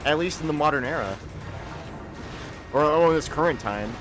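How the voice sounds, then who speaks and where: A man's voice: on the high side, animated, moderate pace, music in the background. A young man chatting casually while streaming a video game, rambling and often stuttering over the starts of his sentences. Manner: rambling, repeats words when starting sentences. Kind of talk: casual live-stream chat.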